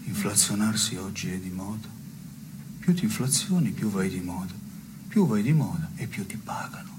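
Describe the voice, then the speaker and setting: A man's voice: low-pitched, animated, normal speed, background noise. An actor playing a needy, demoralized man in an absurdist stage dialogue, plaintive and wordy as he bickers with his female partner. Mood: wounded.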